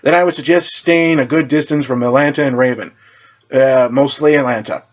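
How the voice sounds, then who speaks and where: A man's voice: medium pitch, normal speed, lo-fi sound, natural voice. Man voicing an earnest, lecturing superhero team leader. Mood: dry, warning. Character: stern, exasperated young male superhero team leader.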